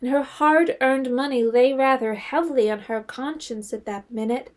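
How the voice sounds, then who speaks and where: High-voiced woman narrating a story. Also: moderate pace. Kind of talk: scripted audio drama storytelling narration.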